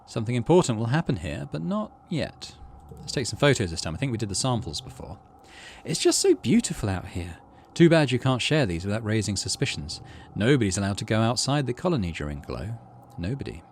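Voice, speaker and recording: Low male voice, animated intonation, game host, story reading with casual asides, light background noise. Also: brisk. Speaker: gaming video host. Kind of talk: reading visual novel text aloud with casual commentary.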